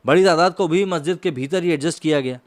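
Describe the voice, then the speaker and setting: Male commentator, notably high voice, emphatic and rhetorical, presenting scripted news analysis. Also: fast.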